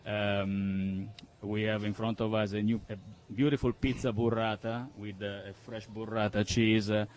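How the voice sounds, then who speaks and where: Italian guy w accent, low voice, restaurant owner in an interview, lots of stopping to find words. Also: flat delivery. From Milan, northern Italy. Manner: halting, searching for words. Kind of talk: unscripted interview answers.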